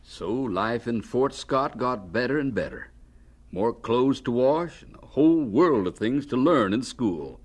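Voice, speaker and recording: A man's voice: mid-range voice, animated, measured pace. A man acting as the genial narrator of a scripted radio drama. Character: genial narrator chatting with the story's hero.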